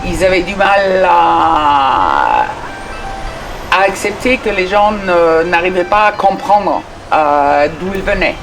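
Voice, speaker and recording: Middle-aged woman chatting slow, pitch jumping around a lot, lots of uhs, music going underneath. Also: sing-song, low-quality recording. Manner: hesitant, many fillers and restarts. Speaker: interview guest. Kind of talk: conversational interview.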